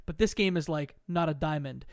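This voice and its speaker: A man's voice: fairly high pitch, brisk, crowd noise. A man as a podcast guest, casually and emphatically explaining his views on video games. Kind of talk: casual video game discussion.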